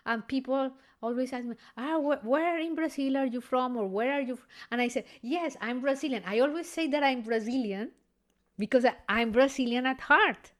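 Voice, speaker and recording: A woman who is a classical singer, talking in a relaxed conversation and often restarting as she searches for words. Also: high voice, normal speed. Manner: warm, effusive, searching for words. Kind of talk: casual conversation.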